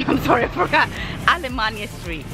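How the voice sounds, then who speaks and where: Woman vlogging on a loud street. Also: on the high side, sing-song, fairly quick. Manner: chatty, self-correcting while walking. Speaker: travel vlogger. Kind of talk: walking tour vlog. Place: busy street outdoors beside traffic. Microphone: phone camera at arm's length. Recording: loud traffic noise and music behind. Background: passing cars and music.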